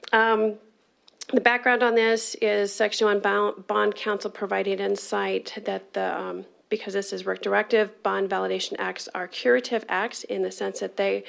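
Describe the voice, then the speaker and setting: Gravelly-voiced woman legislator presenting a bill. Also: mid-range voice. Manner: measured, reads prepared remarks with occasional fillers. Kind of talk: formal committee testimony.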